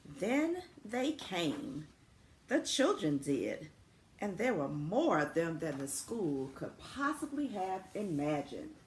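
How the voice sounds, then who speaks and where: Expressive, unhurried woman reading a storybook aloud. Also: lowish voice. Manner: deliberate, expressive, speaking simply to young children. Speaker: elementary school principal reading aloud to students. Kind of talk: children's storybook read-aloud with friendly asides. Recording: roomy echo with faint background noise.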